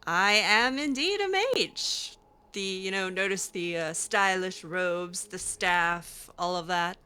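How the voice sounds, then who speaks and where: Woman playing a slow, cheeky, animated young mage. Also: fairly low pitch, clear, resonant tone, heavily acted. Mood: amused, teasing. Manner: casual, teasing. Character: cheeky, skeptical female blood mage. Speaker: roleplaying game player. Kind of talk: casual improvised in-character roleplay.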